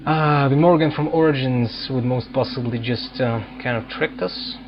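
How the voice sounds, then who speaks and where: A man's voice: medium pitch, normal speed, highs cut off, slight background noise. A man giving casual let's play commentary, musing and hesitantly thinking aloud. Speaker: gaming video host.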